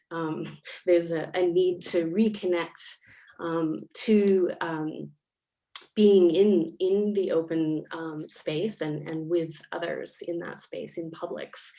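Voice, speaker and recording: A woman's voice: fairly low pitch, mellow. A Canadian woman, a panelist and arts researcher, speaking thoughtfully over a video call with many fillers. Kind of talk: panel discussion remarks. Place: home or office in Melbourne.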